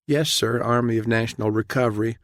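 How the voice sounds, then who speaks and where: A man voicing a meek, frightened woman army captain in her mid-twenties with a New Jersey accent and a soft, trembling, hesitant delivery. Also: mid-range voice, fairly even intonation, brisk, dry recording, studio quality, voice pushed up, lightly acted. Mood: timid, obedient. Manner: hesitant, deferential.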